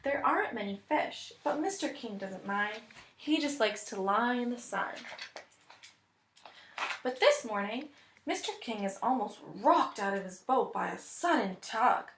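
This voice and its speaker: A woman reading a picture book aloud as a teacher would, deliberate and expressive, pausing to think aloud. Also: a little high, a little hiss or hum. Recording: echoey room.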